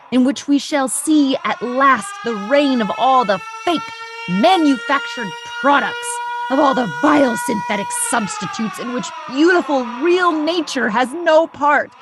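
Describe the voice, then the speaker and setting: A woman performing a grave, sardonic narrator in a theatrical recitation for an avant-garde radio play. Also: sits high. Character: grave, sardonic narrator denouncing American war-making.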